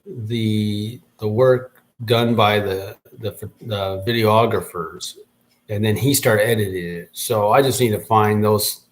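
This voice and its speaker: Rambling man giving a casual update. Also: fairly low pitch. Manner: rambling, thinking aloud with restarts. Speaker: podcast host and rap artist.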